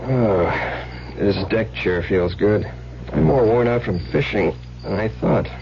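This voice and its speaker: An easygoing, tired new husband in an old radio thriller. He speaks slowly in a low, gravelly voice with lively swings in pitch. The recording sounds muffled. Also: heavily acted. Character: easygoing honeymooning husband, drowsy and worn out. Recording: dull, noisy old radio drama recording.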